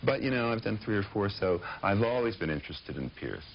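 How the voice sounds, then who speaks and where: Author guest, male, very low voice, halting and thoughtful delivery, muffled broadcast sound with light hiss. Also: lively intonation. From Pittsburgh, United States. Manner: thoughtful, hesitant with many fillers. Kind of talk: casual interview answers.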